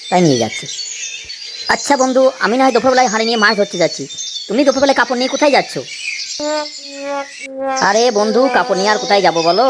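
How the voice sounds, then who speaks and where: A man's voice: high-pitched, noisy background. A man voicing a henpecked village husband in a comedy cartoon, complaining and saving face in colloquial speech. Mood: sheepish, friendly. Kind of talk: Bengali comedy cartoon dialogue.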